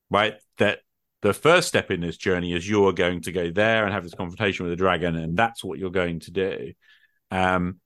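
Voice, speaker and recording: A middle-aged man with a low voice talks through his ideas in a casual, explanatory way. He often checks in with little tag questions, and the sound is dry and close. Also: a bit bright, dry recording. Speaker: podcast host and game master. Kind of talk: extended casual discussion of tabletop gaming.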